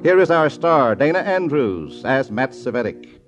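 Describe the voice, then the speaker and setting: A man's voice: medium pitch, big pitch swings, measured pace. A man announcing a 1950s radio drama in a formal, dramatic scripted style. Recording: dull old radio recording. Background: loud brass orchestral music.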